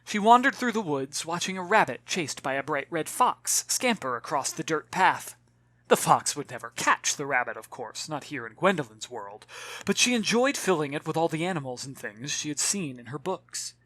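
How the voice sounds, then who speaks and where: A man reading his own novel as an expressive audiobook, with dramatic, measured storytelling. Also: fairly high pitch, no echo. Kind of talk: expressive scripted audiobook narration.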